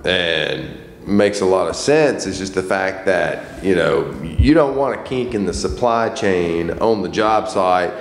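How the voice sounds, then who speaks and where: A male podcast host with a lowish voice agrees with his guest and sums up his points. He sits at a table in a small room. The room adds echo, a long reverb and a faint background hiss. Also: measured pace, gravelly, few pauses. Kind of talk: podcast conversation.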